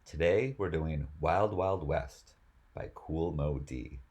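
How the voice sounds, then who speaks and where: A man's voice: very deep voice, slow, darkish tone. A man reading rap lyrics aloud as spoken word, as host of the reading.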